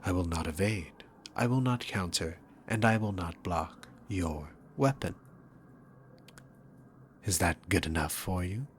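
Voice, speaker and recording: A man's voice: low voice, animated, measured pace, pauses often, slight background noise. A man voicing a dominant, sarcastic demon king in an ASMR roleplay, taunting the listener with theatrical, arrogant condescension. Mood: mocking reassurance.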